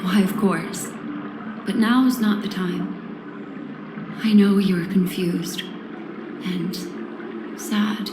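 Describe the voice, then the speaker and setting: Game role: enigmatic woman memory-keeper, deliberate and composed, medium register, echoey sound with noisy backdrop. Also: medium pitch. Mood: gentle, sorrowful. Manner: formal, deliberate, poised phrasing. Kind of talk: scripted video game character dialogue. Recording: roomy echo, loud background ambience.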